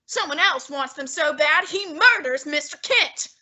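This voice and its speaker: A young woman voicing a formal, fussy genius male detective in an old-time radio drama, speaking in a deliberate, exacting manner. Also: high voice, expressive, sharp tone, full character voice. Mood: reasoning. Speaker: student actor.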